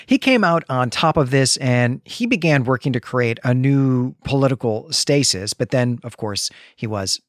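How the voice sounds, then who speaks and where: A man's voice: mid-range voice, lively intonation, normal speed, hardly any pauses, no echo, clean studio sound. A man co-hosting a podcast, explaining things in a conversational, professorial way. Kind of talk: conversational literary podcast discussion with explanatory asides.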